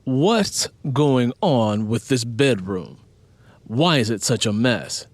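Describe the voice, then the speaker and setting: A man playing a stern, exasperated father in an audiobook, booming and demanding. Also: sing-song, slow, dry recording. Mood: stern, annoyed. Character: stern, exasperated father scolding his teenage daughter.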